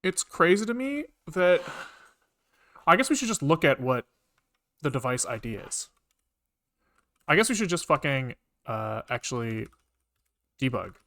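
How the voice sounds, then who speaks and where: A man's voice: a little high, big pitch swings, measured pace, vocal fry, long pauses, sharp tone, no room sound. A man thinking aloud as he programs on a livestream, muttering code in fragments and swearing casually, close to a desk microphone.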